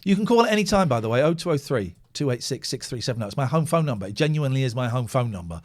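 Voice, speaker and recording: A man's voice: mid-range voice, very fast. A man hosting a late-night call-in radio show, talking casually and swearing a little. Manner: animated, chatty, swearing. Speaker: radio host.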